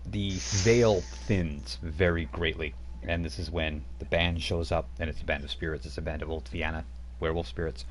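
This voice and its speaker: A man's voice: very deep voice, fairly even intonation, moderate pace, a little hiss or hum. A man running a tabletop role-playing game over voice chat, narrating loosely with many fillers and restarts. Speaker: game master.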